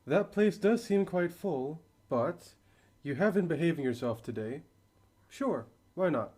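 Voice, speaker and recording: A young man acting as a gentle, affectionate father in an amateur radio drama, measured and reassuring. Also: fairly high pitch, lively intonation, breathy edge. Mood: agreeable. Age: young adult.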